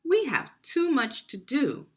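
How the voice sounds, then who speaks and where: A woman's voice: sits high, wildly swooping pitch, slow pace, voice pushed up, exaggerated voice. A woman voicing a lazy middle brother in a children's storybook, with an emphatic, complaining manner. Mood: dismissive.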